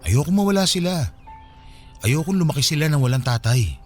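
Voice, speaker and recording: A man's voice: fairly high pitch, animated, fairly quick, soft background music, close to an everyday voice. A man playing a regretful estranged husband and father, pleading emotionally in a radio drama. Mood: remorseful, pleading.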